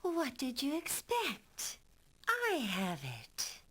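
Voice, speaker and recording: A sadistic video game villainess. Her high voice swoops theatrically as she stretches out sneering, mocking taunts at a slow pace. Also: wildly swooping pitch, sharp tone, heavily acted. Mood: taunting. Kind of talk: theatrical 1990s game voice acting.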